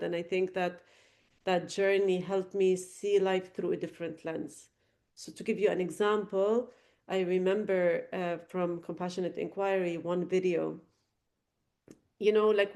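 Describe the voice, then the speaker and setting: Woman from Lebanon, middle-aged, low mellow voice, reflective and earnest, often restarting her phrases. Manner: thoughtful, earnest, with frequent fillers and restarts. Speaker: podcast guest. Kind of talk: reflective interview answers.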